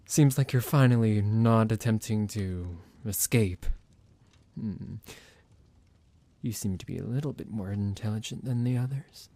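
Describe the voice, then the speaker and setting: A man's voice: fairly low pitch, measured pace, long pauses, warm tone, no echo. A man playing a psychotic vampire doctor in an ASMR roleplay, condescending and playful toward a captive, with mock-polite, hesitant, musing delivery. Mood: smug, condescending.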